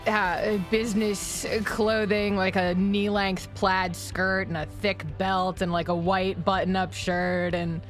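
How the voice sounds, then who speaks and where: A woman's voice: mid-range voice, flat delivery, slow pace, hardly any pauses, faint music behind. A woman player casually improvising a description of her character in a tabletop game.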